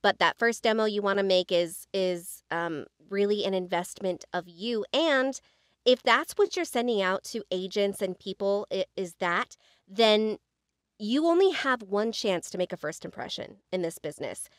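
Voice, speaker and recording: A woman's voice: mid-range voice, animated, normal speed, dry recording, clean studio sound. An American woman co-hosting a podcast about voice acting, speaking earnestly and with emphasis. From United States. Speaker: podcast co-host, voice actor. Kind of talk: casual chat.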